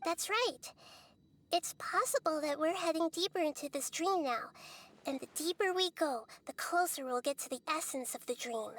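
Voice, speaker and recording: A young-looking goddess of wisdom with a high, girlish voice. She talks calmly and patiently, laying things out step by step like a gentle teacher. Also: normal speed. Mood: explaining. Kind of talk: video game voice acting.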